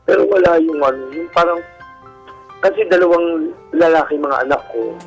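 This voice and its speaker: A middle-aged man with a Filipino accent and a husky voice, telling his personal story haltingly and candidly in a radio interview. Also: fairly high pitch. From Philippines. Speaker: guest telling his life story, a stage performer. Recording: radio show with music bed. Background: background music.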